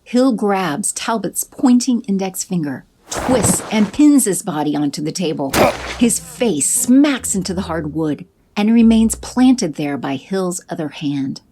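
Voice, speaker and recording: A woman narrating an audio drama in a measured, scripted storytelling manner. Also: lowish voice, lively intonation, noisy background.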